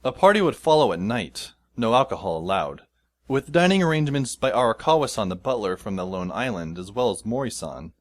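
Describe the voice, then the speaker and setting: A man's voice: on the low side, sing-song, a little fast. A man narrating a story in the first person with a dry, sardonic manner.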